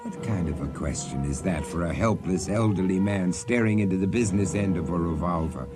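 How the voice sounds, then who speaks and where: An actor playing a dramatic narrator of an old-time radio suspense story. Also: male voice, deep voice, brisk, music in the background, natural voice. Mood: suspenseful.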